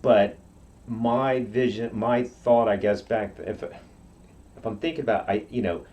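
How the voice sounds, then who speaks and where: A man raised in Okinawa whose first language is Japanese, telling his story in a halting interview with many restarts. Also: lowish voice, steady tone, a little mellow, muffled recording. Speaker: guest.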